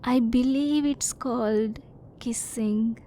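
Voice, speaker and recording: A woman voicing a curious, reserved female alien in an ASMR roleplay, speaking softly in formal, stilted phrases, at times close to a whisper. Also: fairly high pitch, steady tone, takes it slowly, a little hiss or hum. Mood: curious, composed. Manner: soft-spoken, sometimes near whisper.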